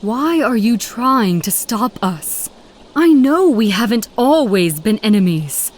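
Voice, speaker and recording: A woman's voice: fairly high pitch, very animated, slow pace, exaggerated voice. An actress voicing a determined, zealous female agent of a secret order in a video game, solemn and poised. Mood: measured, earnest. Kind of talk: dramatic video game dialogue.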